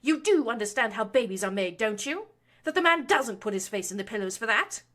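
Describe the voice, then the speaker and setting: A furious, scornful noble matron, as a woman puts her on for an audiobook. Her voice climbs high and hits hard, every line a sharp, loud rebuke. She sounds cold and domineering. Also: lively intonation, a little fast. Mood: scolding. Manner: shrill scolding tirade. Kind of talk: audiobook reading of fan fiction.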